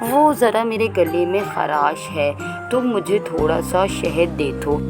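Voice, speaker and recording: Woman as a sly, greedy villain cat, unhurried. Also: lowish voice, lively intonation, over music. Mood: wheedling. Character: sly greedy villain female cat hunting baby birds.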